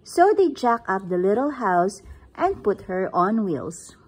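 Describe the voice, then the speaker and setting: A woman reads a picture book aloud in a gentle, breathy voice at a very slow, careful pace. Her pitch sits in a middle range, and she sounds like a parent sharing a story at home. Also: very animated, rough recording. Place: indoors, at home.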